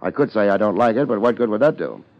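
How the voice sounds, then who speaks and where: An actor playing a laid-back, wisecracking private detective in a 1950s radio drama, with a wry, deadpan manner. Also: male voice, fairly low pitch, fast talker. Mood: dry, firm. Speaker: lead actor and narrator. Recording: muffled old radio recording with music.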